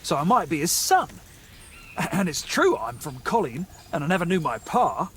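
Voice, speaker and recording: An actor playing a nervous, gullible young medieval bandit in a game, with a hesitant, stammering, excitable way of talking. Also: male voice, on the high side, moderate pace, slight background noise. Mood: spooked, earnest. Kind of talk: video game dialogue acting.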